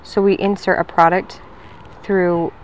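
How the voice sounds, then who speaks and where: A woman's voice: lowish voice, flat delivery, unhurried, slight background noise, low-quality recording. A woman going door to door selling pest control, talking in a friendly, upbeat and talkative way at a front door outside. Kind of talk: sales pitch at a front door. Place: outdoors at a house door.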